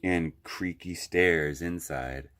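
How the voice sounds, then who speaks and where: A man reading a children's picture book aloud as a library storyteller, with deliberate phrasing and long pauses. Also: deep voice, fairly bright tone.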